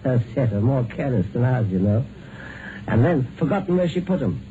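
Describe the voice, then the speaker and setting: Bluff gentleman played by a man, hissy old radio. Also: mid-range voice, very animated, fairly quick, lightly acted. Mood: amused, genial. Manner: bluff, genial, clipped. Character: hearty, unsuspecting upper-class husband and dinner host. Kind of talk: 1950s radio drama acting. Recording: noisy, narrow-band 1950s radio broadcast.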